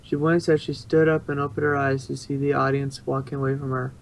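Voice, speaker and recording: A man's voice: medium pitch, monotone, brisk, creaky, rough recording. A man narrating a story in an amateur reading, stumbling now and then and rereading phrases.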